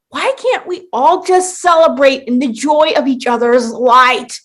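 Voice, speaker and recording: Radio comedy lead: sarcastic, exasperated young woman played by an actress, high-pitched, animated, taking her time. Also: slow pace, heavily acted. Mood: flustered, pleading. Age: young adult. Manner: theatrical, sarcastic, big exclamations. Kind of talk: comic radio play acting.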